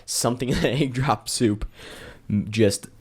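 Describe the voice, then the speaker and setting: A man's voice: medium pitch, measured pace, breathy edge. A young man co-hosting a casual podcast, joking and laughing as he swaps food stories with friends. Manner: laughing, joking, animated storytelling. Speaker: podcast co-host. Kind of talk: casual chat among friends.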